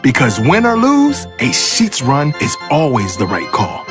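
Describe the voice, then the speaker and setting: A man's voice: on the high side, huge pitch swings, a little slow. A man reading a playful scripted radio advertisement as its announcer. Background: music bed.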